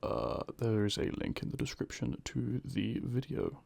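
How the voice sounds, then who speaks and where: A man's voice: medium pitch, steady tone, normal speed, vocal fry, darkish tone, no echo. A man giving a casual outro as the host of an audio channel. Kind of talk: casual scripted-show outro.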